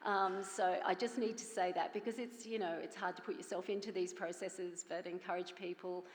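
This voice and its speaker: Female award presenter, lowish voice, earnest and informal, reverberant conference hall. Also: steady tone, moderate pace. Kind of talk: informal spoken introduction. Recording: echoey hall with long reverb.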